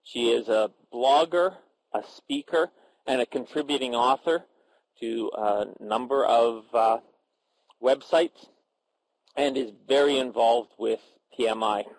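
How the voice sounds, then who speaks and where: A man moderating a professional panel discussion, introducing guests and asking questions in a halting way with many filler sounds and restarts. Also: mid-range voice, dry recording, rough recording.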